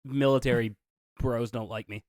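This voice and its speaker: A man's voice: medium pitch, steady tone, normal speed, a little mellow, no echo, clean studio sound. A man in his thirties joking around as a podcast co-host, telling stories and acting out quoted voices. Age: 30s. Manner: jokey, playful storyteller who acts out quoted voices. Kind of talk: casual chat.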